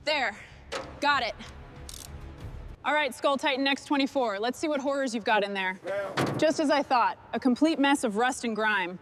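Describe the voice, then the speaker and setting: A woman's voice: high voice, moderate pace, pauses often, music in the background, lightly acted. A woman playing a determined heavy truck restorer, giving terse, scripted work chatter to herself and her crew. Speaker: mechanic. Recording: noisy, with vehicle and machinery sounds.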